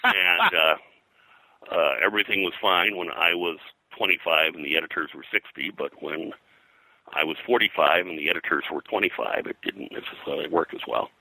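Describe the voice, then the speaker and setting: An older American man reminiscing as an interview guest, in a halting, rambling way. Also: sits low, gravelly, pushed and bright, poor audio. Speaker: guest, comics colorist and publisher.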